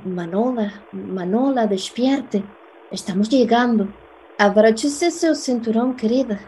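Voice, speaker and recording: Woman acting mellow, gentle travel companion. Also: fairly high pitch, slow pace, a little hiss or hum, rough recording. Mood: gentle, reassuring. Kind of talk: radio drama acting.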